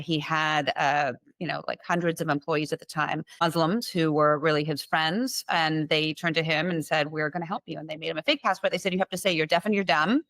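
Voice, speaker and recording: A woman's voice: deep voice, fairly bright tone, dry recording. A middle-aged woman from Iran, raised in Los Angeles, telling her family story as an interview guest, earnest and flowing with many fillers and restarts.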